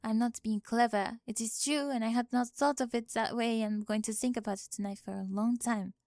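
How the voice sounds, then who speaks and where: Woman acting a frank French exchange student, higher-pitched. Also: fairly even intonation, brisk, darkish tone, no echo, studio quality, lightly acted. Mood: earnest, frank. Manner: precise, earnest, matter-of-fact phrasing. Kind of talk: ASMR roleplay acting.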